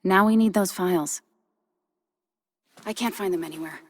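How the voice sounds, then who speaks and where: A woman's voice: on the low side, normal speed, natural voice. A woman acting as a determined, anxious, no-nonsense ally with an American accent and an earnest, urgent manner in a video game. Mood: worried, impatient.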